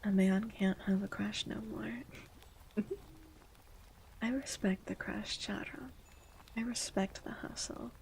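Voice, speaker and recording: A woman in a low, feminine register, speaking almost entirely in soft unvoiced whispers. Her tone is mellow and her pitch stays very level. She chats and reads aloud in a steady, sleepy ASMR style. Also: takes it slowly, vocal fry, long pauses, rough recording. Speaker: streamer. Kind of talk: whispered ASMR chat and legend reading.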